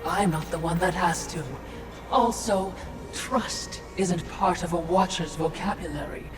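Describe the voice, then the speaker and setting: A woman's voice: fairly low pitch, unhurried. A woman voicing a sly, fawning ghostly servant in a video game, with an insinuating manner and a spectral echo. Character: sly, fawning female ghostly watcher serving her mistress. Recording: echoing, distant, loud music behind.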